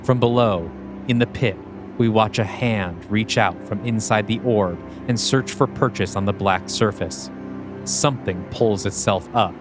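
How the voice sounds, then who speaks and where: A man's voice: on the low side, a little slow, music in the background. Man co-hosting a storytelling podcast. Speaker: podcast co-host. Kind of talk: scripted screenplay narration and casual creative discussion.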